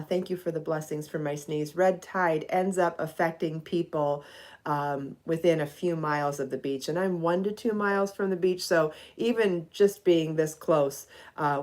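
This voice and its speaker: A woman's voice: sits low, darkish tone. A middle-aged woman, slightly stuffy from allergies, chatting casually on a live podcast and greeting viewers by name. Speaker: knitting and crochet designer hosting a live podcast.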